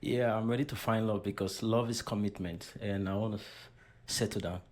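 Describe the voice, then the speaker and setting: A man's voice: on the low side, steady tone, brisk, darkish tone. A man in his thirties giving brief answers about himself as a dating show contestant. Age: 30s.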